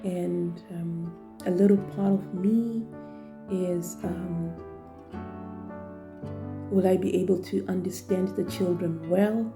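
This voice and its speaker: A woman in her forties from Zimbabwe, with a Zimbabwean accent, talking thoughtfully in a personal vlog with many pauses and fillers. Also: on the low side, steady tone, vocal fry, over music. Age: 40s. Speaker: vlogger and trainee teacher.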